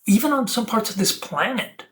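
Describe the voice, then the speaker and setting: Earnest preachy person rambling to camera, stop and start, medium pitch, stretches words out for wordplay. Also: expressive, fairly bright tone. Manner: halting, digressive, with drawn-out words and wordplay. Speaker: self-taught activist presenter.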